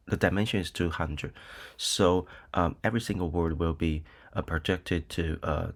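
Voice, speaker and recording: Brief: middle-aged Taiwanese male professor, low voice, unhurried, filler-heavy lecturing. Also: steady tone, fairly bright tone. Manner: hesitant, many ums and uhs, frequent "okay?" checks. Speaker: university lecturer. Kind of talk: recorded lecture with screen demo.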